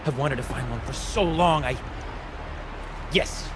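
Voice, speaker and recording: An actor playing an eccentric, excitable older male tinkerer in a video game, with a theatrical, wordy way of talking. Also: mid-range voice, a little slow, noisy background, natural voice. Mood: wistful. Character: eccentric, excitable older man, rambling self-taught tinkerer. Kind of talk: video game character acting.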